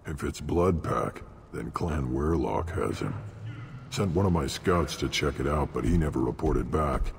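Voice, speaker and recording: An actor playing a surly, blunt alien warrior in a video game, gruff and dismissive, with a deep gravelly voice. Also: male voice, a little slow, exaggerated voice. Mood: gruff, matter-of-fact. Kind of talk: video game character acting. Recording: long reverb, clear background noise.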